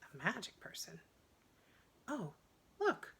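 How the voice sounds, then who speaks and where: A woman's voice: medium pitch, huge pitch swings, takes it slowly, gravelly, pushed and bright, husky, voice pushed up, heavily acted. A woman voicing a puzzled, wondering young boy in a children's storybook, with emphatic delivery. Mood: excited.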